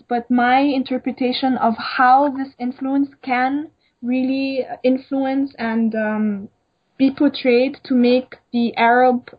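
A young woman, an emerging designer from Egypt, answering interview questions in a hesitant way with many fillers. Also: on the high side. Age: young adult. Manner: hesitant, many ums and likes. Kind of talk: casual interview. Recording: dull lo-fi sound.

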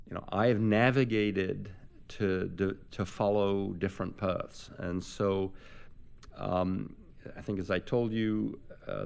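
Older male interviewer, gravelly and low, hesitant delivery full of ums and restarts. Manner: halting, many fillers and restarts. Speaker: interviewer, professor. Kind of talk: conversational interview.

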